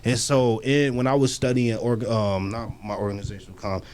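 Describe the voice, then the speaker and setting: A man speaks bluntly and with heat on a studio panel. He stacks short statements, repeats himself and slips into slang. His pitch sits in the middle of the male range. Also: level tone, a little fast, a little mellow, slight background noise. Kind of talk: casual panel discussion. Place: community media studio.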